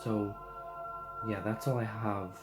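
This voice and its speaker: A man giving a casual tarot card reading, hoarse and losing his voice, rambling loosely with lots of fillers. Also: lowish voice, steady tone, takes it slowly, music in the background.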